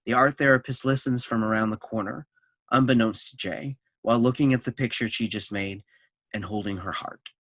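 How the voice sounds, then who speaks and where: A man's voice: lowish voice, steady tone, a little fast, fairly bright tone, no echo. A man narrating a staged reading, reading scripted scene descriptions and stage directions.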